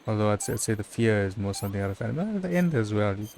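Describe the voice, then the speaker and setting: A man giving casual, halting live commentary on a video game. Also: lowish voice, lively intonation, a little mellow, a little hiss or hum. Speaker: gameplay commentator.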